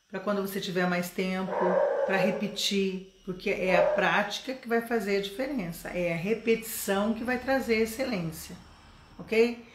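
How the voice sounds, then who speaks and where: A woman's voice: mid-range voice, fairly bright tone, echoey room. A Brazilian woman teaching English in a live stream, chatty and encouraging, often checking in with her viewers. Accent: Brazilian Portuguese.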